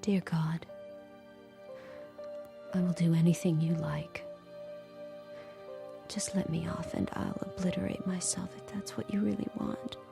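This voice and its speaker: A woman's voice: fairly low pitch, vocal fry. Anxious captive woman, music behind. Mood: desperate pleading. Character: anxious, guarded captive handmaid telling her story. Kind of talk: audio drama dialogue and intimate inner monologue.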